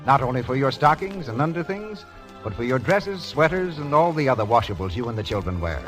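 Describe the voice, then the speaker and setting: A man's voice: medium pitch, animated, fairly quick, faint music behind, rough recording. A man delivering scripted announcements and narration in a 1930s radio show style, on an old broadcast recording.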